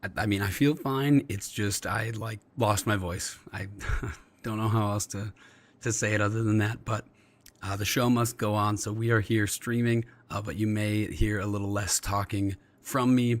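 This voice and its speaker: A man hosting a casual livestream, his voice slightly scratchy and subdued as he recovers from losing it, hesitant and often clearing his throat. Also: on the low side, steady tone, moderate pace. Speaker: streamer, host. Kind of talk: casual livestream chat with viewers and fellow players. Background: music.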